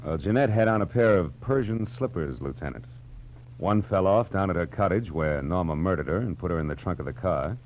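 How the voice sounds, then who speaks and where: An American actor playing a world-weary, hard-boiled private detective in 1940s radio noir, with a wry, clipped, brooding delivery. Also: male voice, sits low, lively intonation, a little fast, a little mellow, a little hiss or hum. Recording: dull 1940s radio recording with music.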